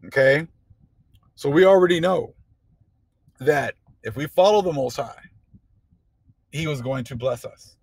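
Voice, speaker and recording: A man's voice: mid-range voice, gravelly, darkish tone, no echo, rough recording. A man teaching scripture in a casual preaching manner, often checking in with listeners as he reads from a book page. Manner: conversational preaching with frequent "okay?" and "you know". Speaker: religious teacher.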